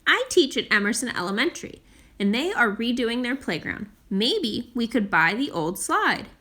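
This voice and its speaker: A woman's voice: fairly high pitch, big pitch swings, moderate pace, sharp tone. A woman voicing a helpful, practical schoolteacher neighbor in a children's storybook with an upbeat manner. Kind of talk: children's storybook character reading.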